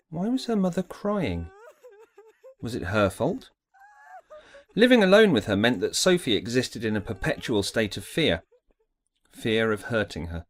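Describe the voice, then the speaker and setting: A man giving a scripted, dramatic narration of an audiobook story. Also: mid-range voice.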